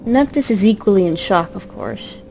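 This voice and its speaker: A woman's voice: medium pitch, sing-song, normal speed, vocal fry, darkish tone. A woman delivering scripted story narration in an emotive, theatrical way. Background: music.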